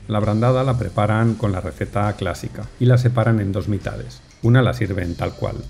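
Mellow-voiced male voice-over narrator. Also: on the low side, gravelly. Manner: measured, unhurried, descriptive. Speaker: food vlogger narrator. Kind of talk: calm scripted voice-over narration. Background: soft music.

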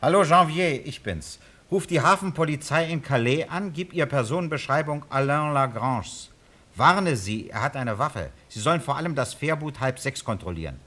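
An actor playing a calm, courteous police inspector who asks probing, matter-of-fact questions in a radio crime drama. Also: male voice, on the high side, moderate pace. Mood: businesslike.

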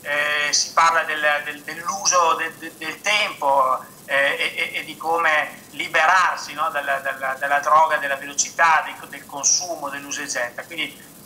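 A man in his thirties presents a book remotely over a video call. He talks haltingly, restarting phrases and filling gaps with "eh". His voice is sharp and bright, pitched a little high, with a faint noise under the call. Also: fairly even intonation, rough recording. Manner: hesitant, many restarts and fillers. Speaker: guest author.

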